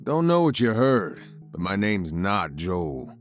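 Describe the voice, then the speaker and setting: A laconic, menacing American gunslinger from a video game. He drawls tersely in a deep voice that moves around in pitch, at a slow pace. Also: big pitch swings, faint music behind, full character voice. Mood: warning.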